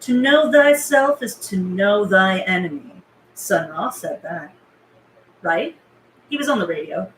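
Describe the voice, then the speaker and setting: A woman's voice: mid-range voice, expressive, unhurried, a bit bright, reverberant, slight background noise, low-quality recording. A woman playing a motherly female radio preacher with a California teen lilt, in a script read. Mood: musing. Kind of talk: table read of a film script.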